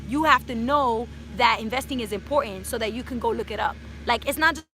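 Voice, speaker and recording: A woman's voice: a little high, fairly quick, sharp tone, faint music behind. A woman of about thirty from New City, chatting casually in an outdoor Q&A vlog and dropping in Spanish words. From New York City. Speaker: personal finance YouTuber. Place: outdoors in hot sun.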